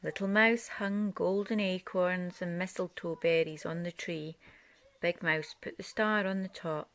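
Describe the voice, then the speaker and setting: A woman's voice: on the low side, steady tone, measured pace, fairly bright tone. A woman narrating a children's picture book aloud as a storyteller.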